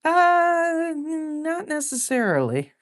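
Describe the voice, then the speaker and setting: A woman in her forties with a low, deep voice, chatting casually while drawing live. She rambles, laughs often, and fills pauses with plenty of ums and uhs. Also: very animated, no room sound, clean studio sound. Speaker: artist drawing live on stream.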